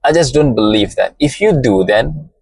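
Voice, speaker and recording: A man with a Malaysian accent, a native Malay speaker, giving a slow, deliberate motivational talk and often repeating phrases. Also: medium pitch, expressive, vocal fry, darkish tone, breathy edge, no room sound, poor audio. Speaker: podcast host.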